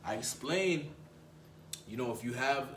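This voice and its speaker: Low-voiced man with slangy coaching talk. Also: expressive, unhurried, fairly bright tone, echoey room, slight background noise. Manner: slangy, emphatic, repeats words. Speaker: dating coach. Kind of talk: casual advice monologue.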